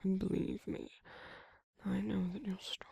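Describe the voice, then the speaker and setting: Breathy whispering vampire lover, played by a woman. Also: mid-range voice, level tone, no echo, exaggerated voice. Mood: tender, reassuring. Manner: slow, doting, gently teasing with soft hums. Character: tender, flirty vampire soothing a partner. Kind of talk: intimate romantic ASMR roleplay.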